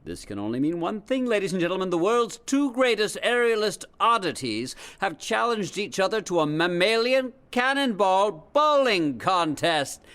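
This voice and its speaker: An actor playing a flamboyant, grandiose variety show announcer in a theatrical old-time ringmaster style. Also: male voice, sits high, big pitch swings, normal speed, few pauses, fairly bright tone, clean tone. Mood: excited showmanship. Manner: grandiose, dramatic, sweeping. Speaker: stage show host.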